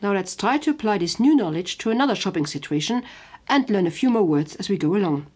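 A woman's voice: medium pitch, lively intonation, brisk, a little mellow, breathy edge. A woman teaching in a scripted language lesson, giving examples carefully word by word in a didactic manner.

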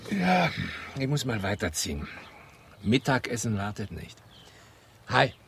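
A man's voice: medium pitch, big pitch swings, a little slow, a bit bright, slight background noise. An actor playing a casual, teasing male university colleague in a radio drama. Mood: light, teasing.

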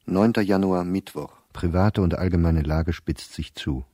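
An actor playing a weary middle-aged professor reading his private diary in a radio play, measured and reflective. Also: low voice, dry recording, studio quality. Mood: sober. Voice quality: dark, husky.

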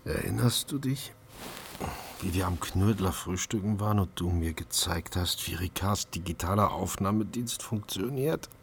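A man's voice: low-pitched, normal speed, vocal fry, a little hiss or hum. An actor playing a wry, food-obsessed chef who narrates his own story in a German crime radio play. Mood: groggy, subdued. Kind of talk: dramatic first-person narration and radio play acting.

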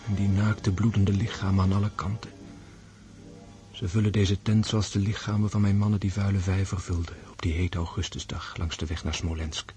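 Low-voiced brooding officer over music, acted by a man. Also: level tone, normal speed, mellow, natural voice. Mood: pained, brooding. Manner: reflective inner monologue. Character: brooding, introspective army officer prince facing death. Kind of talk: radio drama acting.

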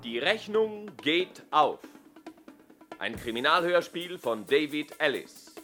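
Slow male announcer over drum music. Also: on the high side, lively intonation. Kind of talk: 1970s radio drama title announcement. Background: percussive music.

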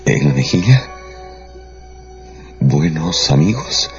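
An actor playing an insistent, theatrical gentleman in a radio drama. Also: rumbling low, very animated, takes it slowly, heavily acted. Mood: ardent, pleading. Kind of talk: Spanish-language radio drama acting. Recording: dull radio drama with music behind.

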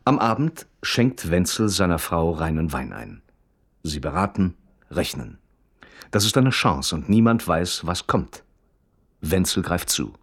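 An actor as the detached, observant narrator of a radio play, reading scripted prose in a measured, precise manner. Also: male voice, sits low, big pitch swings, husky, heavily acted. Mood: detached, matter-of-fact. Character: detached, observant male storyteller.

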